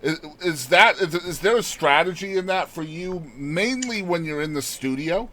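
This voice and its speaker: A man's voice: fairly high pitch, lively intonation, normal speed, a bit bright. A man hosting a podcast interview, asking long, hesitant questions with enthusiasm. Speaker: podcast host.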